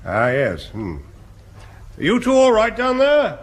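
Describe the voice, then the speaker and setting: An actor playing a hesitant, blithe English bomb disposal captain in a radio sitcom, musing and dithering. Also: male voice, high voice, wildly swooping pitch, slow, full character voice. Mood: pondering. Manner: dithering, musing with hums and ahs. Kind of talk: British radio sitcom acting.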